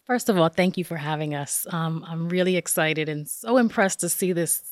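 Middle-aged New woman, lowish voice, dry close sound; upbeat, chatty guest. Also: normal speed, dry recording. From northern New Jersey. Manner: warm, enthusiastic, lots of ums. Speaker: university director, guest. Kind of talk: personal storytelling in conversation.

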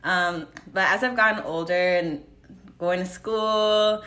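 A woman's voice: medium pitch, takes it slowly, pushed and bright. A young American woman answering interview questions about herself in a casual, reflective way, peppering her talk with "like". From United States, Los Angeles. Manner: reflective, informal, frequent "like".

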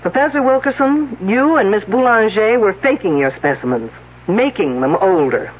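Husky, sardonic lady police detective. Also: medium pitch, animated, moderate pace. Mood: accusing, cutting. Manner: sarcastic, confrontational, in command. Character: shrewd, sardonic, wisecracking female police detective. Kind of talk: 1970s radio drama acting. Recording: tinny band-limited old radio broadcast.

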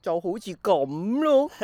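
A woman's voice: fairly high pitch, dark tone, dry recording, heavily acted. An actress playing an elderly grandmother in a radio drama, telling stories to a small child in Hong Kong Cantonese with nostalgic, playful fondness. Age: older. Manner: slow, wistful storytelling to a small child.

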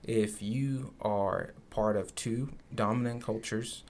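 Earnest young man, low-voiced. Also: steady tone, takes it slowly, slight background noise. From Zimbabwe. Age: young adult. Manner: earnest, reflective, many fillers. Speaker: podcast co-host. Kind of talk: casual podcast conversation.

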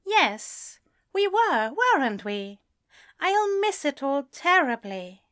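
Audiobook role: anxious young man played by a woman, lifted swooping pitch, prim and hesitant, tender-hearted. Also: sing-song, measured pace, fairly bright tone, heavily acted. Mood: sad, resigned. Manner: careful, formal, hesitant phrasing.

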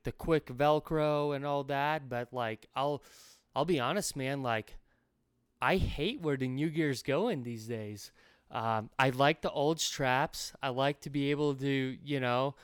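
Laid-back man from Latvia, smooth voice. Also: mid-range voice, dry recording, clean studio sound. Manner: laid-back, filler-heavy, drawn out. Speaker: podcast co-host. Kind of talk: casual podcast chat.

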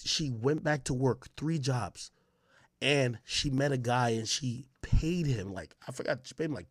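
Brash, forceful man commentating, bright tight sound. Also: mid-range voice, no echo, clean studio sound. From Jamaica. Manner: brash, profane, slangy, emphatic. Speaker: podcast host and commentator. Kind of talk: unscripted reaction commentary, casual streamer talk.

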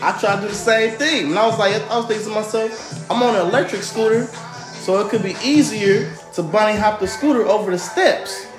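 A young American man co-hosting a podcast, telling stories casually in a slangy, animated way with some swearing. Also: sits high, a little fast. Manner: slangy, animated, profane storyteller. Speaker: podcast co-host. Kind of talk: casual storytelling chat. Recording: roomy echo, faint music underneath.

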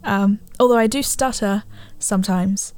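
A teenage girl hosting a solo podcast from her living room on a handheld mic, chatting casually, rambling and correcting herself, her voice a little gravelly from a cold. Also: medium pitch, unhurried, a little mellow. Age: teens. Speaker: podcast host. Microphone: handheld studio mic.

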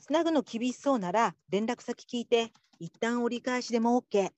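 Woman as a higher-voiced, businesslike supervisor. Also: brisk, darkish tone, no echo, close to an everyday voice. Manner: businesslike, instructing. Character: brisk, practical call-centre supervisor giving instructions. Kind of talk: radio drama acting.

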